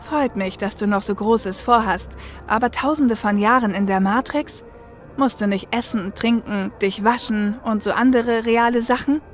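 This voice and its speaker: Woman playing caring but sceptical young runner in a radio play, sober, chiding, soft music behind. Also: on the high side, normal speed, lightly acted. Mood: affectionate. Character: caring, sceptical young female shadowrunner, down-to-earth. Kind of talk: German audio drama acting.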